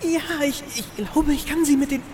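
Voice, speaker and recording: Lady doing an excitable naive sidekick girl, really high, exclaims everything, comedy audio play with noise behind. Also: normal speed, natural voice. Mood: naive, excited. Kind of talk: comic audio drama acting.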